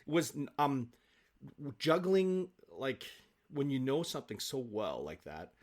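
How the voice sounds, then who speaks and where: Animated man in excited casual chat. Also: medium pitch, slow. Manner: excitable, rambling, repeats himself for emphasis. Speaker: guest, stage actor.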